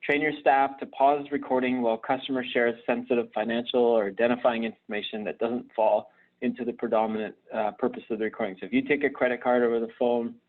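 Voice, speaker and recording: A man gives an instructional live webinar presentation, explaining things conversationally and haltingly, often hesitating and repeating words. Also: mid-range voice, flat delivery. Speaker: presenter at a virtual conference. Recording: narrow, phone-like online call audio.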